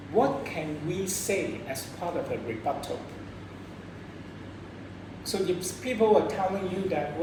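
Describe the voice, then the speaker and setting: A debate coach with a Hong Kong accent, explaining points to students and posing questions as they go. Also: mid-range voice. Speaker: debate coach teaching a class. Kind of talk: interactive lesson, explaining debate rebuttals. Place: echoey room, distant from microphone. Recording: echoey room from far off, noisy.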